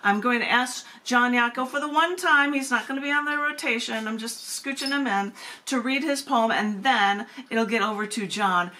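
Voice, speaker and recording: A woman's voice: on the high side, normal speed, sharp tone. A woman hosting a casual open mic and reading poetry. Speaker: open mic host, poet.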